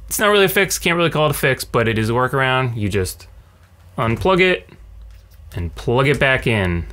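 A man in his thirties talking casually to camera as a tech video host, with a sardonic, animated ranting manner. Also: mid-range voice, moderate pace. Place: small cluttered home room. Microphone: large condenser mic on a stand beside him.

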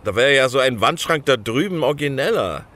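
An actor playing a dismissive, hedging program boss in an audio drama, hesitant and patronising. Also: male voice, mid-range voice, animated, fairly quick, sharp tone. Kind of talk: naturalistic audio drama acting.

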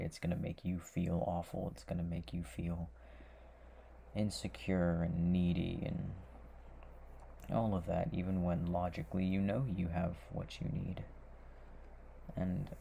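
A young man playing a tender, needy, giggly boyfriend in an intimate ASMR roleplay, speaking softly and haltingly. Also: deep voice, creaky, slight background noise, full character voice. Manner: soft, hesitant, halting.

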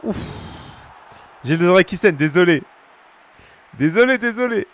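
A man in his thirties from France, streaming a football game and chatting casually with viewers, breaking into shouts at big moments. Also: high voice, normal speed. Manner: excitable, shouts at goals, chats casually with viewers. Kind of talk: live gaming commentary to chat. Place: small room at home.

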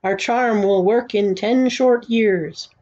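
A woman puts on a mysterious storybook magician, intoning spells in a solemn chant. The words are stretched out in a slow rhythm, with a mellow tone on a slightly muffled recording. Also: medium pitch. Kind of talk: storybook read-aloud.

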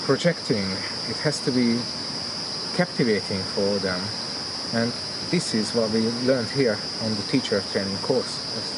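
A middle-aged man from Hungary with a Hungarian accent, speaking English as a second language, giving a testimonial interview in a garden, hesitant with many fillers and restarts. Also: mid-range voice. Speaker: interviewee, yoga student. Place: outdoors in a garden among plants. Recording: loud outdoor insect noise. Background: loud insects and crickets.

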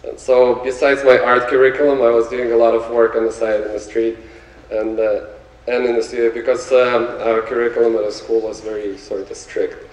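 A man in his thirties with a Lithuanian accent, giving a relaxed stage talk about his art and pausing often to find his words. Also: mid-range voice. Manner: relaxed, searching for words with many fillers. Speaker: guest artist. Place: theater stage before an audience. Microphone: handheld mic, recorded from afar. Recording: echoey theater from far off.

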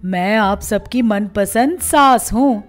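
A woman's voice: mid-range voice, takes it slowly, natural voice. A woman voicing an older mother-in-law greeting viewers in a cartoon channel promo. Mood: welcoming. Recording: roomy sound with music behind.

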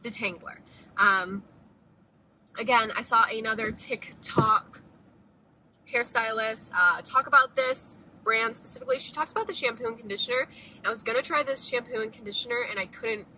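Bright-voiced chatty young woman in a car. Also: a little high, normal speed, slight background noise, low-quality recording. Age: young adult. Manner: chatty, upbeat, many "like" and "um" fillers. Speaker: vlogger. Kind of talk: casual shopping haul chat. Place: parked car, then a big store. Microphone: phone camera at arm's length.

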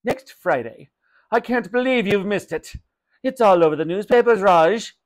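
A man's voice: high-pitched, very animated, measured pace, voice pushed up. A man voicing a pushy, self-important mother in a children's storybook, insistent and pompous. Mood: exasperated.

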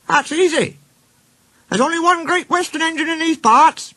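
A man's voice: very high-pitched, moderate pace, bright, forceful tone, no room sound, pitched above the performer's own voice, low-quality recording. A man voicing a small, cheeky green steam engine in a children's storybook, friendly and smug with a teasing manner. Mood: cheerful, proud.

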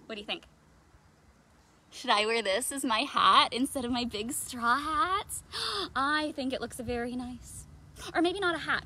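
A woman's voice: on the high side, pushed and bright, a little hiss or hum. A woman in her thirties hosting a children's read-aloud outdoors, theatrical and playful, full of questions. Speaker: children's librarian, storytime host. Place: outdoors in a leafy backyard.

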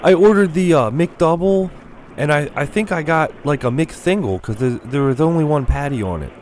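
A young man making a prank phone call as a confused customer, hesitant, bumbling and deadpan. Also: fairly high pitch, moderate pace, slight background noise. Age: young adult. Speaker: prank caller. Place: small cluttered room indoors. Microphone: microphone on a stand, close.

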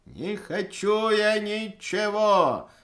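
A man's voice: high voice, lively intonation, drawn-out words, a bit bright, voice pushed up, full character voice. A man doing a reedy old eunuch sage. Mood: insistent. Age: older. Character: old male eunuch sage, high and wheedling.

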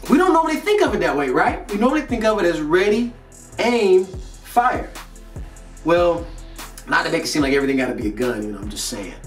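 A man's voice: high-pitched, big pitch swings, a little fast. A man in his thirties with an American accent gives a casual motivational talk to camera in an emphatic, slangy way. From North Carolina, United States. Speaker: host of a motivational video series. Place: indoor studio with plain grey backdrop. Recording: roomy echo, distant mic, music behind.

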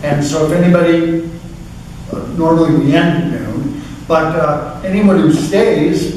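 A man moderating a church class, speaking politely and haltingly. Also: a little high, darkish tone, slight background noise. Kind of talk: moderating questions. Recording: echoey room, far from the mic.

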